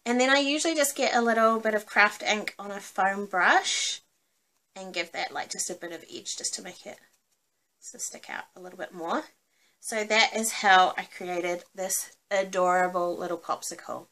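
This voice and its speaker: A woman's voice: mid-range voice, moderate pace, sharp tone. A woman with a New Zealand accent giving a casual, cheerful craft demonstration in an informal, chatty way. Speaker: craft tutorial host.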